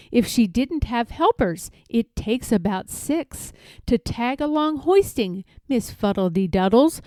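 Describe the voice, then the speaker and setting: A woman storyteller with a fairly high voice reads rhyming children's verse at an unhurried pace. She is expressive and stretches out important words, and the recording is close and dry. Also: dry recording. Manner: drawn-out emphasis on key words. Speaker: read-aloud storyteller. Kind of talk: expressive picture-book read-aloud in rhyme.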